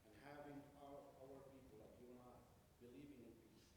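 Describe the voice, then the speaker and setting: Distant, echoey audience member from Acre. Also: male voice, mid-range voice, fairly even intonation, noisy background. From Acre, Israel. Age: 50s. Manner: earnest, halting, searching for words. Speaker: audience member asking a question. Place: large lecture hall, far from the mic.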